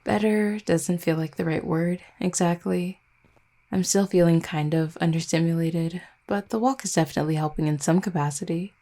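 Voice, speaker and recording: A woman playing an affectionate, gently teasing vampire girlfriend in an intimate roleplay audio, speaking tenderly and without hurry. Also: on the low side, steady tone, normal speed, close to an everyday voice. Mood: hesitant, low. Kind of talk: intimate roleplay audio, soft conversational acting.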